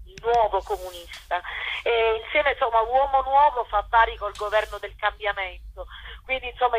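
A woman's voice: mid-range voice, husky, lo-fi sound. A woman explaining her work spontaneously in an interview, in a discursive, self-correcting way. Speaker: theatre director, interview guest.

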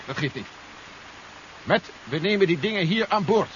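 An actor playing an urgent, commanding general who gives clipped orders in a 1970s Dutch sci-fi radio play. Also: male voice, high voice, expressive, normal speed, fairly bright tone. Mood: tense, deliberating. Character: urgent, commanding male general leading a tense mission. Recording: dull, hissy 1970s radio recording.

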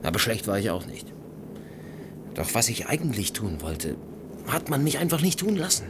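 An actor playing a suave, cynical journalist in a German radio play, talking with ironic, teasing gallantry. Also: male voice, mid-range voice, big pitch swings, moderate pace, background noise. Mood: bitter, reflective. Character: suave, cynical male journalist chasing money, world-weary adventurer.

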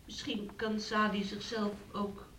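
A young woman lying ill in bed played by an actress in a radio drama. Her voice is medium in pitch, and she speaks in a plaintive, wounded manner, pleading with the man she is engaged to. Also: little pitch movement, measured pace, a bit bright, slight background noise, natural voice, low-quality recording. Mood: wistful, hurt. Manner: plaintive, speaks of herself in third person. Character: sick, bedridden young fiancée, pleading and wounded. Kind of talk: radio play acting.

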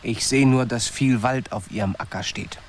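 An actor in a radio drama playing a blunt, practical settler leader who talks tersely and questions everything. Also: male voice, medium pitch, normal speed, a little hiss or hum, lightly acted. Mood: irritated, challenging. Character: Lionel, blunt, practical settler leader, grumbly but fair. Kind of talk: naturalistic German radio drama acting.

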